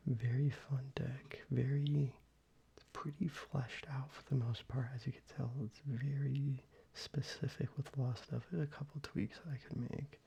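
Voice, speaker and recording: A man talking through his card collection in a soft-spoken ASMR video, mostly whispering in a relaxed, casual way with the odd swear word. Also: medium pitch, moderate pace, vocal fry. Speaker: ASMR creator. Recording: slightly dull, faint background noise.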